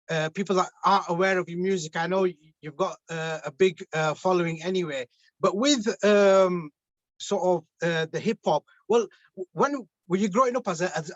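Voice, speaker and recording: A man from England hosts a casual radio chat, stumbling over lots of ums and restarts. His voice is high and the sound is dry and close. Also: dry recording, dull audio. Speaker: radio host.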